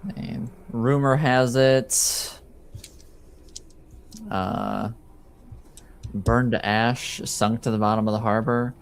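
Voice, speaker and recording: A man's voice: medium pitch, steady tone, slow pace, vocal fry, long pauses, a little hiss or hum. A man voicing a laconic, easygoing, shady tavern informant in a tabletop game, casual and cagey. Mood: cagey, unbothered.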